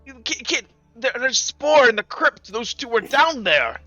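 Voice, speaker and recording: Man acting an agitated, high-pitched city guard. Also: lively intonation, quick pace, pushed and bright, dry recording, muffled recording, soft background music, full character voice. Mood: alarmed. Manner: urgent, repeating himself, barking orders.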